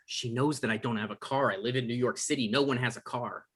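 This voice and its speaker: Man playing whiny, argumentative male novelist, husky edge, quick to anger, table-read drama. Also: mid-range voice, fairly bright tone. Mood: exasperated. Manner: argumentative, exasperated. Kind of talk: live table read of a screenplay.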